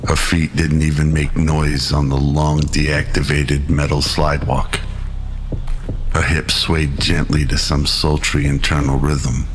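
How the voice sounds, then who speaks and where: A man narrating a scripted radio drama in a measured, dramatic storytelling manner. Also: a bass voice, a little hiss or hum. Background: music.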